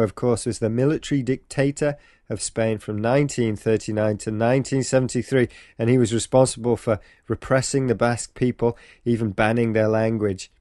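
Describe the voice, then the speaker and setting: A man's voice: mid-range voice, darkish tone, no room sound, studio quality. A man hosting a football podcast, reading a news segment with a few ums. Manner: reads from notes with filler ums. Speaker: podcast host.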